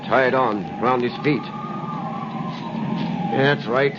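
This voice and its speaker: An actor playing a callous, bossy, rough henchman in a 1930s radio drama, giving gruff, casual orders. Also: mid-range voice, steady tone, slow pace, natural voice. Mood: gruff, businesslike. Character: callous, bossy rough workman disposing of a body. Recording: dull, very noisy 1930s radio recording.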